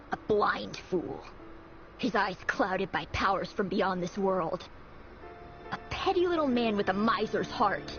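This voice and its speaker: An actress playing an embittered, vengeful rebel of a fallen dynasty, sardonic and fervent, in a dramatic video game style. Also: female voice, medium pitch, natural voice. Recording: dull game audio under loud music.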